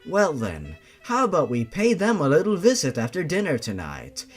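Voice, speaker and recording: A man's voice: on the high side, huge pitch swings, moderate pace, slightly rough, soft background music, heavily acted. A man voicing a polite, composed young woman with a vengeful streak, in an audio horror story. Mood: coldly vengeful.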